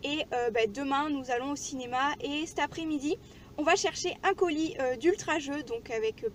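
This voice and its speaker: A woman with a high-pitched voice chats informally, as if filming a casual vlog. Her delivery is chatty and everyday. The recording carries some light background noise. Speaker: vlogger.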